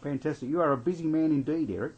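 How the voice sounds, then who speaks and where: A man hosting a radio interview, speaking haltingly and repeating his words. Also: fairly high pitch, darkish tone, husky, highs cut off.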